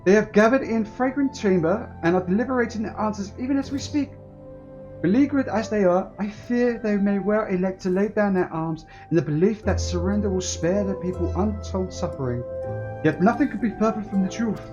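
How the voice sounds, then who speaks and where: A man's voice: high-pitched, a little fast, a little mellow, muffled recording, faint music behind, pitched above the performer's own voice, natural voice. A man voicing an earnest, urgent ally who speaks in solemn, formal phrases for a fantasy game story. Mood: grave, urgent. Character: earnest, urgent ally warning of grave danger, high-voiced.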